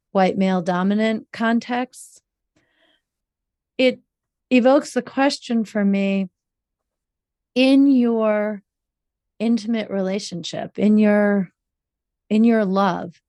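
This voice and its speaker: A woman hosting a relationship podcast, asking slow, thoughtful questions with measured, drawn-out phrasing. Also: mid-range voice, darkish tone, no room sound, studio quality. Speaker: podcast host, relationship coach.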